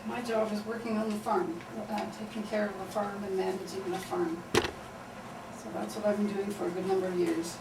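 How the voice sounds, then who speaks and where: A woman gives plain, matter-of-fact answers about her farm life. She is recorded from a distance in an echoey room. Noise stays steady underneath. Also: mid-range voice, normal speed, creaky. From Canada. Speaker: interviewee, farmer. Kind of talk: casual interview answers.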